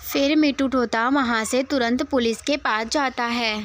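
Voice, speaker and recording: High-voiced woman narrating a children's story. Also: steady tone, unhurried, background noise.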